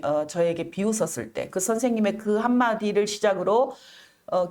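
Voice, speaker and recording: A middle-aged woman telling her own story in an interview, earnest and measured, pausing often and enunciating with care. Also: mid-range voice, darkish tone. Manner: earnest, measured, with frequent pauses and careful enunciation. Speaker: interview guest, activist. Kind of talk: reflective personal storytelling in an interview.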